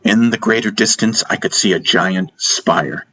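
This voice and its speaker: A man narrating a first-person fantasy audiobook in deliberate, dramatic short phrases. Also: lowish voice, bright, forceful tone, low-quality recording. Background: music.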